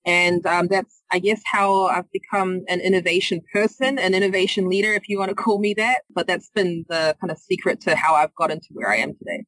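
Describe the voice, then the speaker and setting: A woman's voice: fairly low pitch, brisk, few pauses, fairly bright tone, dry recording, poor audio. A woman with a New Zealand accent, a podcast guest who answers questions fluently but with many fillers and restarts. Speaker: guest, innovation leader.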